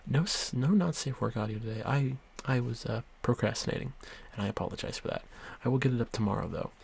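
A man's voice: mid-range voice, quick pace, vocal fry, breathy edge. A man speaking casually off the cuff as an audio creator, stumbling a little over his words. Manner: stumbling, apologetic. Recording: noisy recording with loud background ambience.

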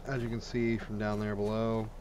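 A man's voice: lowish voice, flat delivery, normal speed. A man giving casual let's play commentary, informal and crude, thinking aloud as he goes. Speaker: gaming video host. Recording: game ambience and noise behind voice. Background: video game sounds.

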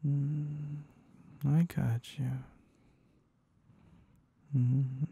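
Male actor as sleepy husband, very dark husky murmur, tender, soothing, intimate ASMR, close and dry. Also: mid-range voice, little pitch movement, no room sound, full character voice. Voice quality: breathy, gravelly murmur. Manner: soft, slow, soothing, with hums. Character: tender, sleepy husband comforting his wife in bed. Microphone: very close, dry microphone.

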